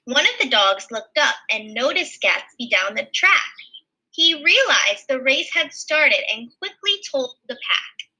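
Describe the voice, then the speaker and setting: A woman's voice: high-pitched, sharp tone, rough recording. A woman reading a children's picture book aloud expressively, adding simple explanations as a teacher would. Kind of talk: animated children's read-aloud with asides.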